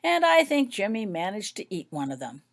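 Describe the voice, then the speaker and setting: A woman's voice: mid-range voice, big pitch swings, moderate pace, voice pushed up. A woman voicing a tired, harried but polite mother in a storybook reading. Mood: exasperated. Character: harried, tired young-children's mother, grateful.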